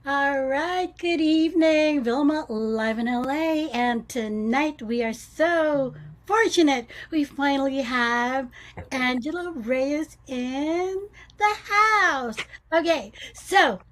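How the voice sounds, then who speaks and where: A woman hosting a talk show interview, with an eager, drawn-out way of speaking. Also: sits high, a bit bright.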